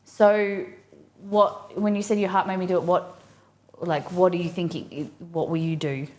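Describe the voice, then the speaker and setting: Low-pitched Australian woman chatting casually. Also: level tone, normal speed, darkish tone. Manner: informal, rambling, thinking aloud. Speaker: live stream cooking host. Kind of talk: casual chat with viewers while cooking.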